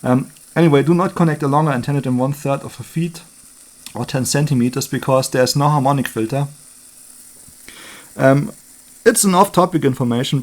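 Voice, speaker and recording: A man giving an unscripted hands-on electronics demonstration as a hobbyist, thinking aloud in short, halting remarks. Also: medium pitch, darkish tone.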